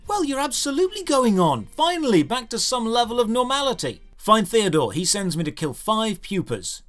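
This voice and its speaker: A man's voice: sits high, big pitch swings, normal speed, fairly bright tone, soft background music. A man hosting a scripted comedic game review, with a dry, sardonic and emphatic delivery. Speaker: video host, game reviewer.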